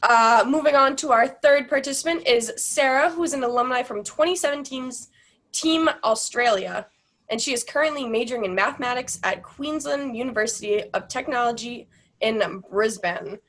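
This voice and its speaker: A woman's voice: a little high, normal speed, a bit bright. A woman moderating an online panel over a video call, reading the guest introductions in an upbeat way.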